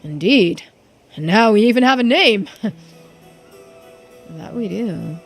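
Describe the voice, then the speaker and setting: A woman's voice: a little high, animated, takes it slowly, heavily acted. A woman voicing an eager, genteel investigator in a video game, with florid, exclamatory speech. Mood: eager, delighted. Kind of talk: game character dialogue read aloud. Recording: music behind the voice.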